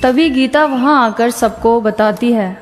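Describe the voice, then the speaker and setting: A woman's voice: fairly high pitch, a little slow. A woman narrating a scripted horror story as its storyteller. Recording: long reverb with faint music. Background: faint background music.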